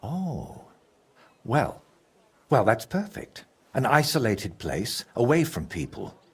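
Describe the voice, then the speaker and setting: An actor playing a troubled, scheming male painter in a video game, excitable and theatrical, with a husky voice. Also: mid-range voice, slow pace, heavily acted. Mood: gleeful scheming. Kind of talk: video game character dialogue.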